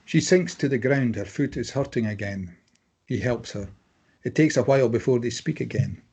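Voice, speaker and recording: Casting: male narrator with a slightly rough, husky tone to read screenplay directions at a table read. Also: medium pitch, lively intonation, moderate pace, darkish tone. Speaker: narrator reading stage directions.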